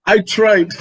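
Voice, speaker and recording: A man hosting a live radio interview, relaxed and jokey, with hesitant fillers. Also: high voice, husky. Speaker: radio host.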